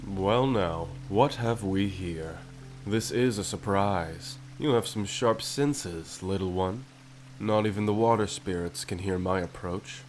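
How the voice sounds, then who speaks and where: A man voicing a courtly, composed Butterfly King in an ASMR story roleplay, speaking in a regal, formal and deliberate manner. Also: low-pitched, darkish tone, a little hiss or hum, low-quality recording. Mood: regal, intrigued.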